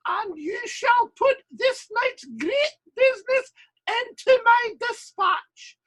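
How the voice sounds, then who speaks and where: Shrill, blustering theatre playwright. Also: male voice, lively intonation, takes it slowly, full character voice, low-quality recording. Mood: declamatory. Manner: declamatory, forceful, theatrical. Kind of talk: stage-style audio drama acting. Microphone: video call recording.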